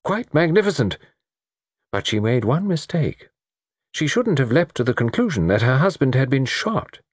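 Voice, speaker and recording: Guy doing a fussy old british gent from a storybook, theatrical, voice swooping up high, bit husky. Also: big pitch swings, normal speed, no echo, clean studio sound. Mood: self-satisfied. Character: excitable elderly English gentleman, fussy, precise, theatrical.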